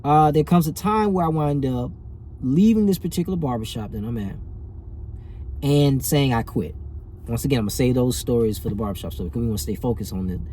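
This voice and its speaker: A man's voice: medium pitch, a little fast, dark tone, a little hiss or hum. A man, telling a personal story casually and animatedly, as if to viewers. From rural Alabama, US. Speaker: vlogger telling personal stories.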